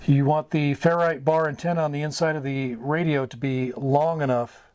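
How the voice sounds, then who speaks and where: A middle-aged man giving a casual tutorial as host, speaking haltingly in short phrases with pauses. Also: fairly high pitch, steady tone, a little mellow, no echo. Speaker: host of a hobby radio tutorial. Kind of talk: casual whiteboard explainer. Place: small wood-paneled indoor room. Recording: clear background noise, room ambience.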